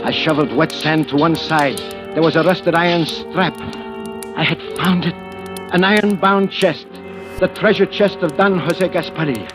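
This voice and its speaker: An actor playing a nervous, secretive, obsessed treasure hunter in an old radio thriller, speaking haltingly and dramatically. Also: male voice, fairly high pitch, close to an everyday voice. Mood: tense, obsessed. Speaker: actor narrating and playing the lead. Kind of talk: 1940s-50s radio thriller acting, first-person narration. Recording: old radio recording with music.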